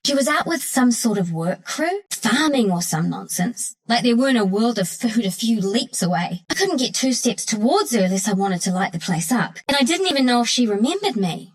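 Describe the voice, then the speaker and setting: A scrappy little forest critter from a video game, with a high, animated voice that swings freely. She talks with cocky, slangy irreverence. The character is a cheeky trickster who sounds small and sure of herself. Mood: wistful, wry.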